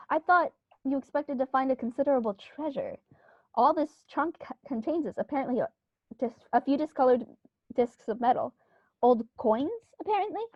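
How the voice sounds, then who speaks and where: A woman playing a flustered, excitable country gentleman in a radio play, full of fussy exclamations. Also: sits high, no echo, dull audio. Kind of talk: amateur radio play acting.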